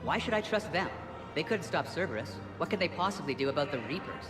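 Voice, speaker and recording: A man's voice: on the high side, lively intonation, fast, lightly acted. An actor playing an anxious, distrustful male civilian in a sci-fi game, agitated and exasperated. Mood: agitated, defiant. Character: anxious, distrustful male bank client ready to flee war. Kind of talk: video game voice acting. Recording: echoey hall with music behind.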